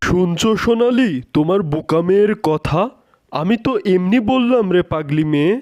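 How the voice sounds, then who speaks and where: A man's voice: sits high, animated, normal speed, a little mellow. A man voicing a doting, teasing, poor fisherman father in a cartoon. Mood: placating, fond. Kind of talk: cartoon character dialogue.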